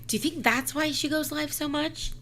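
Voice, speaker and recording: A woman's voice: high voice, fairly even intonation, normal speed, a bit bright. A woman hosting a casual live chat show, with a giggly, slangy way of talking. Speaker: live panel show host. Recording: faint background noise.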